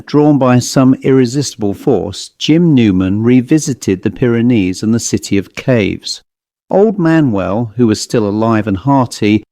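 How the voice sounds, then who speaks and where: Male narrator for supernatural tales: warm, relaxed tone, deliberate scripted storytelling, very dry sound. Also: medium pitch, no echo. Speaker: narrator and host of horror story readings. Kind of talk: scripted narration of classic ghost stories.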